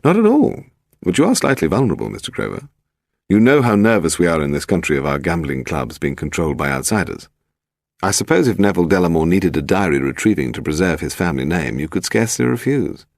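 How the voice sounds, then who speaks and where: A man voicing an urbane, unflappable English gentleman detective in a crime story, teasing and assured. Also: low-pitched, sing-song, fast, vocal fry, no room sound, clean studio sound, heavily acted.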